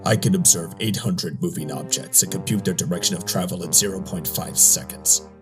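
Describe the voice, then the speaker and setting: A coldly logical robot tactician acted by a man talks in a low voice. He is precise, clinical and sure of himself, an animated-comic intellect, with music clearly playing behind him. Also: a little fast, close to an everyday voice. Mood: smug, certain. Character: coldly logical, self-assured male robot strategist. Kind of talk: animated motion-comic dialogue.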